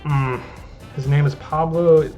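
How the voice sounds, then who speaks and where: A man's voice: on the high side, steady tone, slow, darkish tone, highs cut off, soft background music. A man giving casual, rambling commentary over his own gameplay as a gaming channel host. He often restarts his sentences and talks at an easygoing pace. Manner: rambling, self-interrupting, easygoing.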